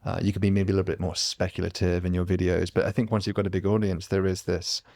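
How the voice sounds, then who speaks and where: A man's voice: sits low, flat delivery, fast talker, darkish tone. A man hosting a podcast, talking in an earnest, reflective way in a casual conversation. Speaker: podcast host.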